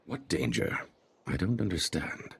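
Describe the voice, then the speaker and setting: A man's voice: sits low, very animated, unhurried, creaky, slightly rough, heavily acted. An actor voicing a wary, earnest alien man in a video game, with simple, formal phrasing. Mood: curious, wary.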